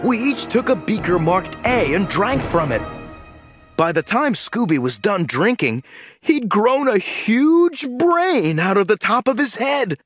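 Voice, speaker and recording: A man narrating an audiobook in the first person with lively storytelling. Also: high-pitched, breathy edge, no room sound, faint music behind. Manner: lively storytelling, slow and animated.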